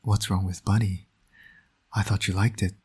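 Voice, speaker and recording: A man playing a flirtatious, teasing supervillain and doting father in an intimate audio roleplay, with a husky, breathy voice. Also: lowish voice, little pitch movement, normal speed, darkish tone. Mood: teasing persuasion.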